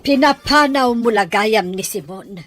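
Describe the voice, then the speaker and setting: A woman's voice: fairly high pitch, measured pace, soft background music, close to an everyday voice. A woman with an Ilocano accent playing an exasperated, reproachful wife in an audio drama. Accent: Ilocano, from the Philippines. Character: exasperated, reproachful wife pleading with her husband.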